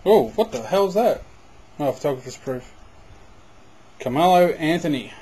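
Excitable man opening card packs. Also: a little high, unhurried, slightly rough. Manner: excitable, bursts loud on big pulls. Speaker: card box breaker. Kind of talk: casual running commentary. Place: indoors at a table.

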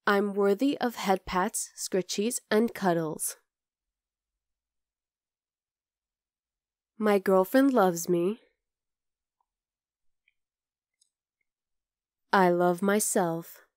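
A woman's voice: mid-range voice, slow, no room sound, clean studio sound, lightly acted. A woman playing a young wolf-girl girlfriend in an intimate ASMR roleplay, affectionate, teasing and coaxing. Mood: playful teasing. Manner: cooing, playful, coaxing.

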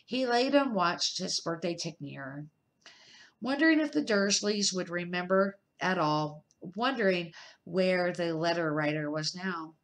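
A woman's voice: lowish voice, animated. Middle-aged woman reading a story aloud. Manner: halting, stumbles and corrects words. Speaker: amateur storybook reader. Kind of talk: reading a children's novel aloud.

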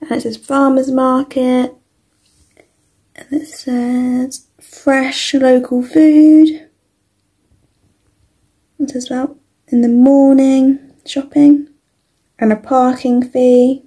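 Slow, even-voiced young British woman. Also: high-pitched. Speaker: toy reviewer. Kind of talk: casual product walkthrough.

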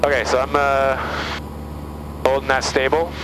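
A man's voice: medium pitch, level tone, measured pace, background noise. A man with a Canadian accent talking himself through flying aloud as a student pilot in a small plane. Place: small airplane cockpit in flight.

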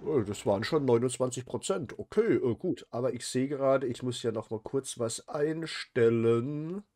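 A man's voice: mid-range voice, expressive, normal speed, a little mellow. Man with a cold, chatty gamer. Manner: chatty, many "äh" fillers and restarts. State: has a cold. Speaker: let's play host. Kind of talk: casual game commentary.

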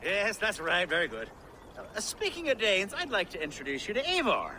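An actor playing a genial, ingratiating wealthy Saxon lord in a video game, with an effusive, flowery manner. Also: male voice, sits high, huge pitch swings, normal speed, bright, forceful tone, slight background noise, heavily acted. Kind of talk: video game drama acting.

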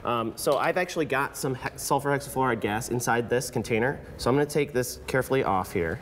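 A man's voice: medium pitch, fast talker. A man in his thirties giving a casual, explanatory science demonstration on live television. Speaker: science educator, guest demonstrator. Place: TV news studio. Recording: reverberant studio with faint noise.

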